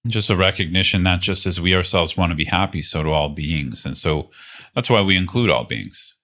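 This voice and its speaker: A man leading a guided meditation as a teacher, speaking gently and unhurriedly with long pauses. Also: sits low, lively intonation.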